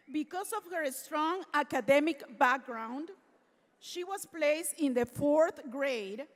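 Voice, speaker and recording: Slow-spoken high-voiced woman presenting an award. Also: fairly bright tone. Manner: reads prepared remarks, grows emotional. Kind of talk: formal award speech at a luncheon. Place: large banquet hall. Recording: hall reverb from a PA system.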